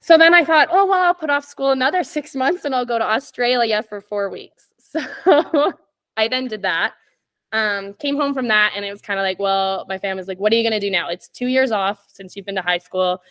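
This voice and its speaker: A woman in her twenties from Canada, a podcast guest telling stories in casual chat, laughing often and filling pauses with "like" and "um". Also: a little high, fairly bright tone, smooth. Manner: chatty, laughs often, many "like" and "um" fillers.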